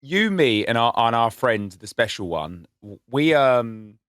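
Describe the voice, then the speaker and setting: Lively, enthusiastic man co-hosting a podcast. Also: medium pitch, measured pace, no room sound, studio quality. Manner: enthusiastic, self-mocking storyteller. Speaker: podcast co-host. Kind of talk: casual chat.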